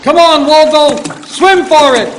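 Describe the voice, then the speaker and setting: A man playing an excitable, blundering everyman father with folksy working-class phrasing, in old-time radio comedy style. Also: very high-pitched, measured pace, loud music behind the voice. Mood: bold urging. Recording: live stage recording with background noise.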